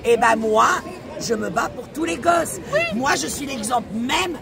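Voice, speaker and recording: A woman from Paris talking bluntly and forcefully on a city street. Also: a little high, lively intonation, measured pace, creaky. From France, born in Paris. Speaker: activist. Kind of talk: street conversation. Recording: noisy outdoor crowd.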